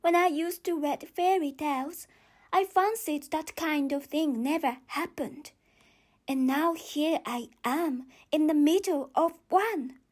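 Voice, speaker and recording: Lady doing a polite little girl from a storybook, really high, slow, kinda worried and musing to herself. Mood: wistful, musing. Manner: wondering, talking to herself. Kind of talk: children's audiobook character voice.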